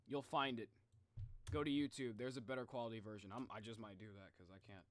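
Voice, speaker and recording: A young man chatting casually to viewers on a livestream in an informal, animated way. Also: lowish voice, quick pace, slight background noise. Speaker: live streamer.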